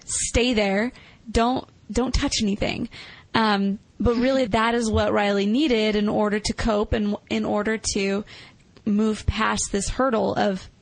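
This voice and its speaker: A woman with a fairly high voice and plenty of vocal fry chats casually as a podcast co-host. The sound is dry and close. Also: fairly even intonation, measured pace, dry recording, low-quality recording. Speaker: podcast co-host reviewing films. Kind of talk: casual review chat.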